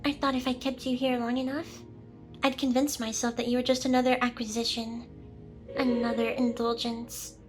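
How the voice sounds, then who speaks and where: A woman's voice: a little high, little pitch movement, normal speed, music in the background, close to an everyday voice, poor audio. A woman playing a smug, seductive, possessive demon boss in an ASMR roleplay, with a teasing, theatrical delivery. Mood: intense, vulnerable.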